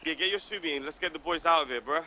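A man with a fairly high voice calls out positions to his teammates in an online game. The voice chat is very noisy, with gunfire behind him. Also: racing, dull audio. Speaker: online roleplay gamer. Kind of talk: tactical gaming callouts. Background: gunshots, vehicles.

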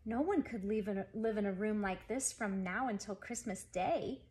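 A woman's voice: mid-range voice, moderate pace, darkish tone, close to an everyday voice. Woman reading as a worried, musing storybook girl. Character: gloomy young girl pondering her messy room.

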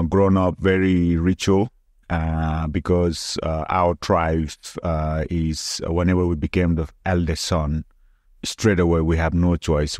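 A middle-aged man from Nepal with a Nepali accent, telling his story casually and haltingly as an interview guest. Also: very deep voice, darkish tone, no echo, studio quality. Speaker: podcast guest, former soldier. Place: small indoor room with curtains. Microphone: close podcast microphone on arm.

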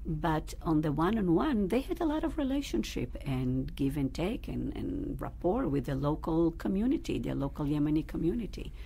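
A woman rabbi recounting her family's history in an interview, pausing often to search for words. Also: deep voice, very animated, gravelly, warm tone, slightly rough. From Israel. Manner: thoughtful, searching for words with fillers. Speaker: rabbi, guest. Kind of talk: interview, personal storytelling. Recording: faint background noise.

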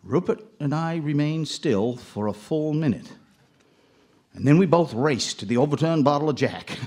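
A man's voice: a little high, expressive, moderate pace, a little mellow. Man acting a folksy storytelling fisherman, swooping intonation. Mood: somber. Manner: wry, folksy. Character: folksy lonely Maine ice fisherman telling a ghostly tale. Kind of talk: live radio drama first-person storytelling. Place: live on stage in an auditorium. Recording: noisy live stage recording.

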